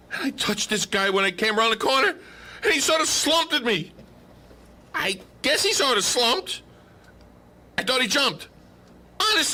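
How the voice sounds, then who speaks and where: An actor playing a panicky bookkeeper in a radio play, making a stammering, pleading, nervous confession. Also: male voice, extremely high pitch, bright, forceful tone, heavily acted. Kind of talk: radio play crime story acting.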